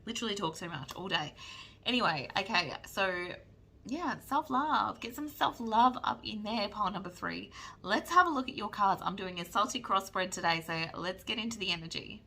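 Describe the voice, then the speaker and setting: Gravelly-voiced woman reading tarot cards. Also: medium pitch, expressive, normal speed, pushed and bright. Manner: chatty, keeps checking in with "right?". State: losing her voice. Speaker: psychic tarot reader. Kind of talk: pick-a-card tarot reading to camera.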